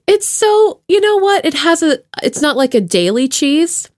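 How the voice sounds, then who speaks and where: A woman's voice: high-pitched, big pitch swings, a little slow, darkish tone. A woman hosting a podcast in casual conversation, playful and self-deprecating. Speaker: podcast host. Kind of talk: casual interview chat.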